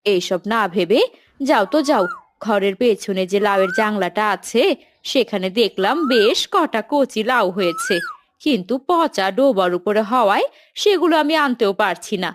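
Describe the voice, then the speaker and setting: A woman's voice: medium pitch, lively intonation, moderate pace, slight background noise, lightly acted. A woman playing a practical, admonishing village wife and mother in a cartoon family drama.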